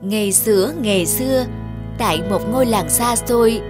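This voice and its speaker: A woman's voice: a little high, normal speed. A woman narrating a fairy tale from a script, as a storyteller. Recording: music under the voice.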